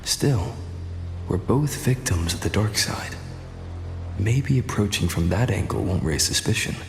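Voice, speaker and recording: An actor playing a calm, guarded young male student in a video game, speaking deliberately and tactfully. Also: lowish voice, gravelly, echoey room, faint music behind.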